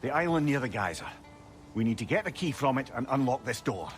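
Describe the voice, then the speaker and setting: A man's voice: mid-range voice, animated, fairly quick, soft background music, lightly acted. An actor playing a wise, remorseful older man with a Scottish accent, an eloquent talking-head companion in a video game. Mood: helpful, informative. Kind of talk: dramatic video game dialogue.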